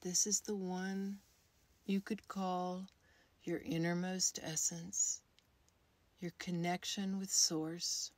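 A woman guides a meditation at a very slow, soothing pace. Her voice is slightly low, soft and airy, with gentle pauses between short phrases. The sound is close and dry. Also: level tone, no room sound. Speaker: guided meditation leader, creativity coach.

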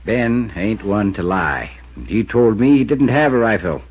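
An actor playing a laconic, stubborn small-town constable with a rural American accent and a folksy drawl in a 1950s radio drama. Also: male voice, on the low side, lively intonation, unhurried, breathy edge. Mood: troubled, reflective. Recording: muffled 1950s radio recording.

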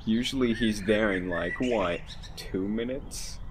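A man's voice: on the low side, measured pace, close to an everyday voice. A man playing a breezy, joking young duck-like monster with casual slang, over a noisy background. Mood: casual, puzzled. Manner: laid-back, casual slang. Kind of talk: fan audio drama acting.

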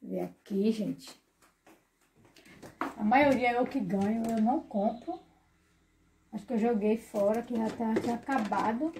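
A woman's voice: fairly high pitch, unhurried, long pauses, background noise. Chatty woman filming herself on a phone. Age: middle-aged. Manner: chatty, repeats words, calls viewers "gente". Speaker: vlogger. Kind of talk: casual vlog chat to viewers. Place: small home bedroom and kitchen, indoors. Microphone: phone held at arm's length.

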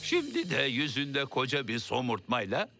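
Earnest, animated cartoon king. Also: mid-range voice, moderate pace, loud music behind the voice. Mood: exasperated, earnest. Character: earnest, well-meaning, exasperated male cartoon king. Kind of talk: dubbed children's cartoon acting.

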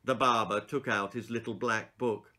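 A man in his sixties narrating a children's story in an expressive, deliberate, theatrical way. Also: lowish voice. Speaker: author reading his own stories. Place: small book-lined room indoors.

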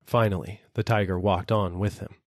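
A man narrating a children's fable as an expressive audiobook reader. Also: sits low, moderate pace, dry recording, clean studio sound. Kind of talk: expressive scripted storybook narration.

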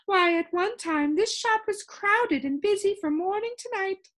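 A woman reading a children's storybook, voicing an excitable, wistful, complaining female keeper of sounds in a theatrical, exclaiming manner. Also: extremely high pitch, a little slow, pitched above the performer's own voice.

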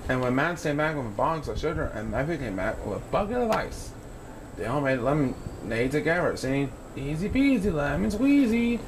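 Animated young man reading a children's story. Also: medium pitch, brisk, noisy background. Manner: playful, acts out sound effects. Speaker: storyteller reading a picture book. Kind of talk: children's read-aloud narration.

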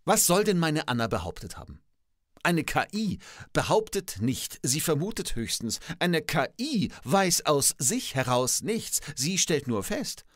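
A man's voice: fairly high pitch, sing-song, a little slow, no echo, studio quality. A man playing a pedantic, old-fashioned, skeptical professor in a scripted comic dialogue, speaking precisely and declaiming his points. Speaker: podcast co-host in a comic professor role. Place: radio studio. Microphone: studio microphone.

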